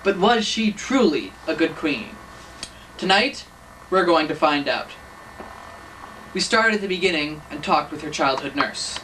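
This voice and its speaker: A man's voice: a little high, lively intonation, unhurried, long pauses. A teenage boy narrating a mock documentary and interviewing, earnest and mock-serious. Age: teens. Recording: distant mic, noisy room.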